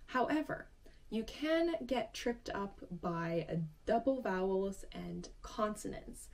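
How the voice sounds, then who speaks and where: A woman's voice: mid-range voice, lively intonation, takes it slowly, creaky, a little mellow. A young woman who speaks Finnish as her first language, hosting a language lesson and explaining points step by step in a friendly, encouraging way. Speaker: language teacher and channel host. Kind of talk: casual explanatory lesson to camera.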